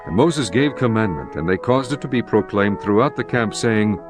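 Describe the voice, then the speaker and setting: Male narrator, low pitch, measured, formal, scripture reading, prominent background music. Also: lively intonation. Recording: music bed behind the voice.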